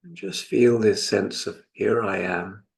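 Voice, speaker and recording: A British man in his seventies from England leading a guided meditation as a teacher, speaking in a reflective, gently instructive manner with pauses. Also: fairly low pitch, very animated, vocal fry. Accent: British English. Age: 70s.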